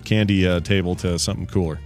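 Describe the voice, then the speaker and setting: A man's voice: low-pitched, fairly even intonation, quick pace, darkish tone, soft background music. A man in his forties hosting a live podcast, joking, laughing often and restarting his sentences. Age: 40s. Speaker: podcast host. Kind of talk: casual live-stream chat.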